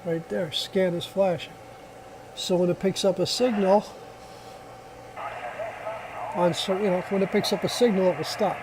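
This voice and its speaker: A man's voice: high voice, fairly even intonation, unhurried, long pauses, background noise. A man giving a casual hands-on product demonstration, explaining step by step in a plainspoken way. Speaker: product reviewer.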